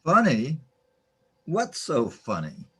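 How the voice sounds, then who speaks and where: A man's voice: fairly high pitch, huge pitch swings, takes it slowly, pushed and bright, slightly rough, full character voice. A man playing a philosophical, scolding guide in a spirit realm for a sci-fi radio drama. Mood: indignant.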